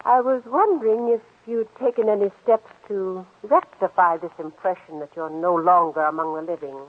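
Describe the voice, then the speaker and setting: A woman playing a cold, scheming, middle-aged older sister in a 1940s radio drama, speaking in a clipped, measured, commanding way. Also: fairly low pitch, phone-quality sound, lightly acted. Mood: probing, controlled. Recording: band-limited old radio recording.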